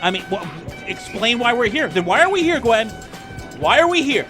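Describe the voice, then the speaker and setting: A man who talks in a rambling, excitable, profane way as a player in a casual tabletop role-playing game. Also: very high voice, moderate pace. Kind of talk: casual improvised tabletop role-play chat. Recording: music behind the voice. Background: music.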